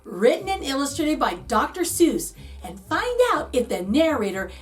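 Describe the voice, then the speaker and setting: A woman's voice: high-pitched, distant mic, faint music behind. A woman hosting a children's read-aloud, speaking expressively with dramatic emphasis as she presents a picture book. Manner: expressive, dramatic emphasis with drawn-out words and gasps.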